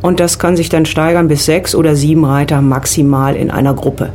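A woman's voice: low-pitched, fairly even intonation, fairly quick, darkish tone, slight background noise. A middle-aged woman from the Rhineland with a Rhineland German accent, a riding instructor answering questions as a studio guest on a radio show. She explains things step by step and uses fillers.

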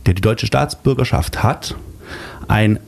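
A man being interviewed as a guest in a radio studio, explaining things in a calm, precise way. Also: on the low side, measured pace, vocal fry. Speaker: studio guest, local activist. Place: small padded radio studio.

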